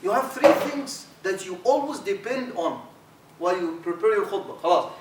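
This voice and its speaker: A middle-aged man with an Arabic accent, an imam teaching a training workshop, animated and repeating words as he talks, with Arabic fillers. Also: high voice, moderate pace. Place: university lecture room. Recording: echoey room, distant mic, faint hiss.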